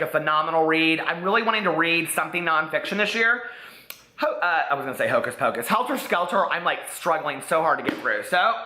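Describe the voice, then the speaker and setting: A man's voice: fairly high pitch, bright, forceful tone, reverberant. A man from Indiana with a slight Southern drawl, chatting casually to camera as a book vlogger, with sassy asides. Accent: Midwestern American with a slight Southern drawl. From Indiana, USA.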